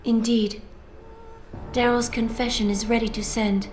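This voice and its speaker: A woman voicing an enigmatic artificial-intelligence news anchor, measured, formal and solemn. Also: on the high side, flat delivery, darkish tone, slight background noise, natural voice. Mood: solemn composure. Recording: echoey, faint music behind.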